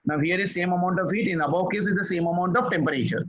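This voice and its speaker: A man's voice: sits high, rapid-fire, fairly bright tone, lo-fi sound. A man tutoring physics, patient, repeating key phrases and checking that his student follows. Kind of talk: one-on-one tutoring session.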